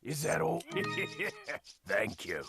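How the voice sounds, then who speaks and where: An actor playing a shady, chuckling weapons merchant in a horror video game, with gravelly, drawn-out, theatrical sales patter. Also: male voice, medium pitch, very animated, music in the background, heavily acted. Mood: sly, chuckling. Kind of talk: video game character acting.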